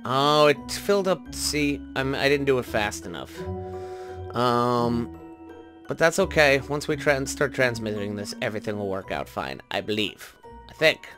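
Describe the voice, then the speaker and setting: A man's voice: medium pitch, measured pace. A man hosting a gaming video, giving casual commentary and often questioning himself as he thinks aloud. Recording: music behind the voice. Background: background music.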